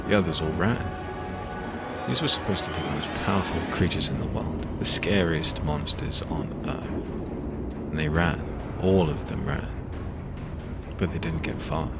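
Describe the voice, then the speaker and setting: A man with an extremely deep voice narrates dramatic fiction in the first person. Loud music plays underneath his scripted delivery.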